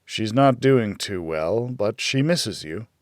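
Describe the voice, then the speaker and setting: Weary male werewolf, acted by a man narrating, audiobook noir; low-key and tender, slow delivery, dry sound. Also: expressive, no room sound. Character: world-weary, brooding male werewolf talking tenderly to his wife.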